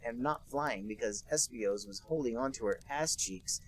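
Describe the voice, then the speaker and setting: A man co-hosting a comedy podcast, reading a fanfic aloud in a deadpan way while holding back laughter. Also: mid-range voice, normal speed, breathy edge, poor audio.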